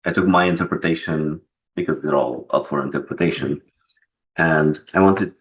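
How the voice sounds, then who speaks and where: A man answering interview questions as a game developer, explaining casually in measured short phrases with pauses between them. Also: very deep voice.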